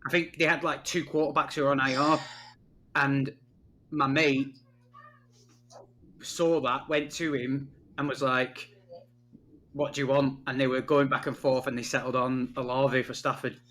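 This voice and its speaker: A man co-hosting a fantasy football podcast, telling stories in a relaxed, chatty way. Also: mid-range voice, unhurried, long pauses. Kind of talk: casual chat.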